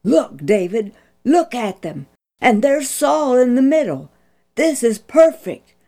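A woman's voice: a little high, very animated, slow, dark tone, pitched above the performer's own voice, full character voice. Storybook: a woman as an eager young warrior, raised and breathless. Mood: excited.

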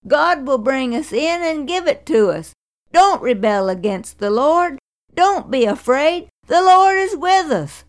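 Two faithful, brave men in a children's Bible story, earnest and encouraging, with a lively rising voice, as a woman reads them. The pace is slow and deliberate. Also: sits high, voice pushed up, full character voice.

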